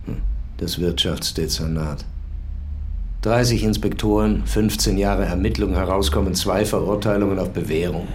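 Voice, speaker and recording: An actor playing a cynical, commanding police commissioner in a crime radio drama, with a dry, sardonic manner. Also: male voice, low-pitched, moderate pace, darkish tone, slight background noise, lightly acted.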